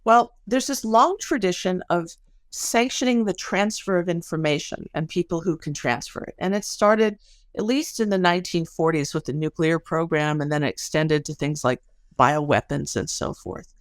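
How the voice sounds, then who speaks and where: A woman's voice: on the low side, normal speed, dry recording, studio quality. A woman speaking as an academic guest in an interview, explaining research conversationally with everyday examples.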